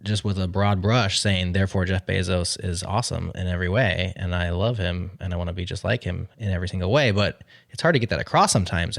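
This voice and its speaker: An American man hosting a conversational interview, explaining his points in an articulate, measured manner. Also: deep voice, no room sound, studio quality. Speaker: podcast host.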